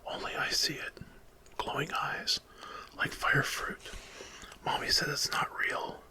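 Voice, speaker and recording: A man voicing a timid six-year-old male husky puppy in a fearful, whimpering whisper for an ASMR story. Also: takes it slowly, natural voice. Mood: frightened.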